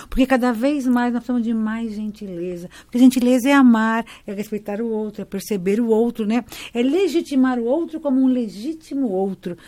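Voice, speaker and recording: A woman's voice: high voice, dry recording. A woman from São Paulo with a paulistano accent, hosting a radio interview in a chatty, enthusiastic way. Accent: Paulistano Brazilian Portuguese. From São Paulo, Brazil. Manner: chatty and enthusiastic, asks questions. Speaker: radio host.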